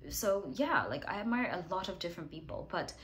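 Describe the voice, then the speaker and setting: Stuffy-nosed woman teacher, chatty and self-mocking, lower voice, faint background hiss. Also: very animated, brisk. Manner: chatty, self-deprecating, clears throat and coughs. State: congested with a cold. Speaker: English teacher hosting a writing challenge. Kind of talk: casual to-camera talk.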